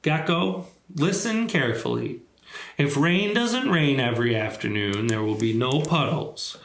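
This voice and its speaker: In a roomy, echoing space, a patient and wise elephant played by a man reading a storybook explains things firmly and step by step. The voice moves up and down in lively fashion. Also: mid-range voice, vocal fry. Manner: deliberate, reasoning step by step. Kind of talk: children's storybook read aloud.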